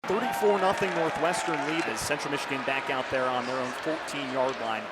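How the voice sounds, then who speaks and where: A man's voice: medium pitch, a little fast, over a noisy crowd. A man in his twenties calling a football game on radio in clipped, descriptive phrases. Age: 20s. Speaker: play-by-play football announcer. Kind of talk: live radio sports commentary. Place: live at a football stadium. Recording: loud stadium background noise. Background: stadium ambience and noise.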